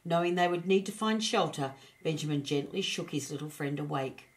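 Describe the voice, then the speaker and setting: A woman narrating a children's storybook as an expressive storyteller. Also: low voice, a little fast.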